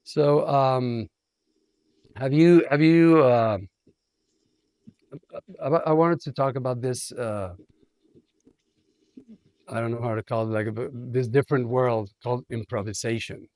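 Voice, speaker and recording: A man's voice: medium pitch, unhurried, long pauses, darkish tone, no room sound. Rambling man interviewing in a dry studio. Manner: rambling, repeats words, laughs often. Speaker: radio host. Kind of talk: interview conversation.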